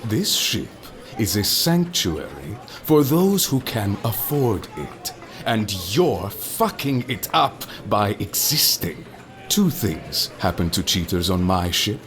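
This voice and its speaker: An actor playing an urbane, menacing male captain of a pleasure ship in an audio drama, speaking in a deliberate, theatrical way. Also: lowish voice, sing-song, fairly bright tone, exaggerated voice. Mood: cold menace. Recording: music behind the voice. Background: music.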